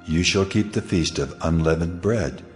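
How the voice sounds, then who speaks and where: A very low, solemn male voice of God acted by a man in a dramatized audio Bible. He pronounces law with measured authority and command, with music running underneath. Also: close to an everyday voice. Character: God of Israel, solemn commanding lawgiving deity.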